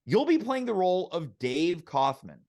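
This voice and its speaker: A man's voice: high voice, sing-song, normal speed, a little mellow. A man hosting a live online show, talking like an announcer with theatrical emphasis. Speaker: host.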